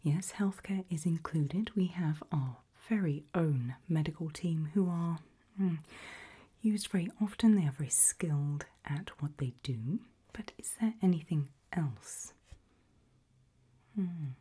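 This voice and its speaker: A woman in an ASMR roleplay voicing a polite, quietly menacing HR interviewer in a breathy, deliberate whisper. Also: low voice, heavily acted. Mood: calm, veiled menace. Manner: slow, deliberate, softly spoken.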